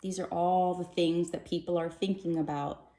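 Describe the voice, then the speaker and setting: A woman reading a children's storybook aloud with expressive narration, recorded in an echoey room. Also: on the low side, moderate pace. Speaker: storybook reader.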